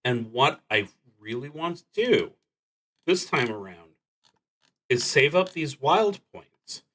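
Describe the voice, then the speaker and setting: A man thinking aloud as he plays a solo tabletop roleplaying game on a stream, talking in a deliberate, halting way with dry asides. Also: mid-range voice, sing-song, fairly bright tone. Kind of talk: live play narration, thinking aloud.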